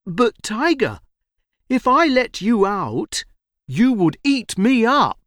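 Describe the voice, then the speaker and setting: A woman's voice: a little high, wildly swooping pitch, takes it slowly, no room sound, studio quality, voice pushed up, full character voice. A woman voicing a frightened, friendly little boy in a storybook, protesting earnestly.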